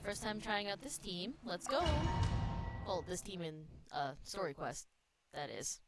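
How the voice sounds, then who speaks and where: A woman's voice: medium pitch, expressive, a little slow, music in the background. A woman streamer giving casual live commentary on a video game and reading its text aloud.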